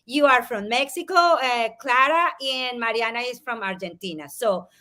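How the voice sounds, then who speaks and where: Woman, guest coach on a live video call, high voice, animated and expressive. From Spain, raised in Venezuela. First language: Spanish. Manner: animated, expressive, quick to laugh. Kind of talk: live interview, explaining a method.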